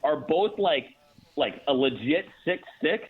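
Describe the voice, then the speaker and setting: A man's voice: on the high side, expressive, unhurried, husky. An American man giving analysis as a guest announcer in a sports radio interview, thinking aloud with repeated words. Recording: dull, narrow-band phone-like line.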